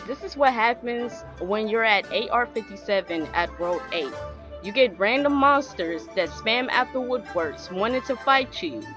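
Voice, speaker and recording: A woman's voice: fairly high pitch, expressive, measured pace. A young adult woman giving casual, chatty gameplay commentary with grumbling asides, recorded indoors at home. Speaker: gaming streamer doing reaction commentary. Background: video game music.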